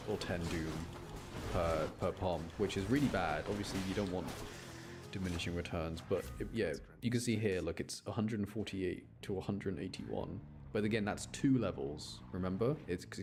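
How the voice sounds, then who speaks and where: Guy streaming a game, low voice, chatty and explainy, clear music behind him. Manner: chatty, explanatory, self-interrupting. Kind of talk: casual live gameplay commentary.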